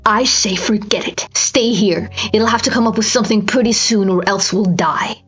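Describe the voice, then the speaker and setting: A woman's voice: mid-range voice, moderate pace, fairly bright tone, husky. A cynical, exhausted man, bitter and dismissive, as acted by a woman reader. The delivery belongs to a dramatic storybook narration. The recording is a little dull and has music behind it. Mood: bitter frustration. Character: cynical, fed-up man trapped and starving.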